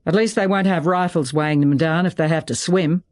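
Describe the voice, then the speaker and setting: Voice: medium pitch, fairly quick, darkish tone, natural voice. A narrator voicing a sardonic, blustering male political operator with a flippant, sarcastic manner.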